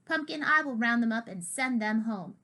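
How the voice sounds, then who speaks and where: A woman's voice: a little high, expressive, moderate pace, bright, forceful tone. A woman voicing a brave young girl in a storybook, firm and urgent.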